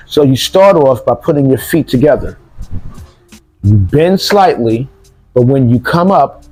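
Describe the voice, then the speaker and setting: A man's voice: medium pitch, darkish tone, low-quality recording. A man teaching self-defense breathing exercises, repeating cues and counting breaths aloud. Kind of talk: demonstration lesson.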